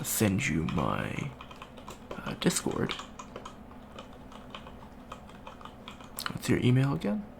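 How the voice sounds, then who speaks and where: A man's voice: fairly low pitch, vocal fry. A man playing a shy, friendly young avian alien student in an ASMR roleplay, hesitant, stammering and rambling. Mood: awkward, friendly. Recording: faint background noise.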